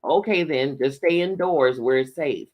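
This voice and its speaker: A man's voice: fairly high pitch, unhurried, a little mellow, voice pushed up, natural voice. A man voicing a matronly, gossipy small-town postmistress with folksy, bantering talk in a read-aloud story. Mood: teasing, motherly.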